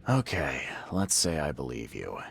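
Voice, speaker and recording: A man playing a weary, hard-boiled private eye in a video game, with a gravelly voice and a terse, dry manner. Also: very animated, measured pace, no echo, full character voice. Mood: stern, probing. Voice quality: very deep, gravelly, rough. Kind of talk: video game cutscene acting.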